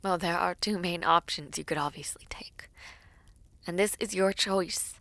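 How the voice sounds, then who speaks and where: A woman playing a devoted princess in an audio roleplay, tender and formal, with measured, hesitant phrasing. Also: lowish voice, fairly bright tone. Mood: tender, earnest. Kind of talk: intimate audio roleplay acting.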